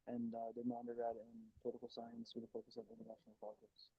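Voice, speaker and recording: A young man from North Carolina gives a quick, matter-of-fact introduction. He talks fast, and the recording sounds dull and lo-fi. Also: medium pitch, monotone, breathy edge. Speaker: graduate student guest. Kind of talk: casual conversation.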